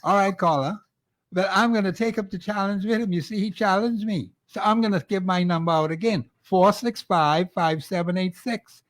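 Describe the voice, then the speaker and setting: A man with a Bahamian accent hosting a call-in radio talk show, exhorting listeners and taking calls. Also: high voice. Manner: fiery, exhorting, repeats phone number slowly.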